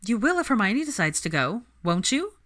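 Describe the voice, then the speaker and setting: A woman's voice: mid-range voice, big pitch swings, normal speed, fairly bright tone, voice pushed up. A woman voicing a bold, playful young woman in a story, with a breezy, teasing manner. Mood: challenging, playful.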